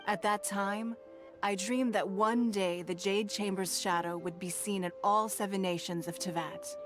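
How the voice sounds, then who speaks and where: A woman playing a poised, shrewd and wealthy lady leader in a video game, speaking formally with measured authority. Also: mid-range voice, lightly acted.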